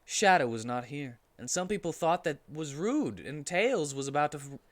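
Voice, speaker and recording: A man's voice: on the high side, big pitch swings, moderate pace, fairly bright tone. Man giving a mock-serious dramatic reading. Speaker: streamer reading a story aloud.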